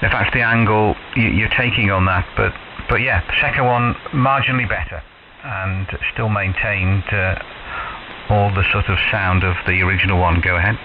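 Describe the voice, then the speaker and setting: A man's voice: on the low side, steady tone, normal speed. A man chatting casually and technically over ham radio, choosing his words in a considered, hesitant way. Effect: shortwave radio transmission. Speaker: amateur radio operator. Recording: narrow tinny shortwave radio signal, clear hiss. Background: radio static hiss.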